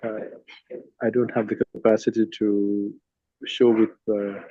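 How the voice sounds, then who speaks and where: A man in his fifties from Sri Lanka gives an informal online presentation with slides, speaking haltingly and rephrasing as he goes. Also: lowish voice, little pitch movement, dark tone, dry recording. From Sri Lanka, later Nigeria. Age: 50s. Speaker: speaker at a cultural talk. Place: online video call. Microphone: phone. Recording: dull lo-fi phone call audio.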